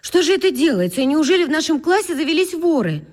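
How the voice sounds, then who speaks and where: An actress playing a worried, well-meaning schoolteacher, agitated and expressive, in a children's radio play. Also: female voice, high-pitched, fast, big-room sound. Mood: upset, agitated.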